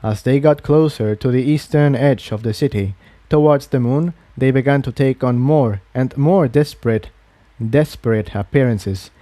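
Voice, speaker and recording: A man's voice: medium pitch, normal speed, warm tone, dry recording. A man reading a fantasy adventure story aloud as a scripted narrator. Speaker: narrator, fan fiction reader.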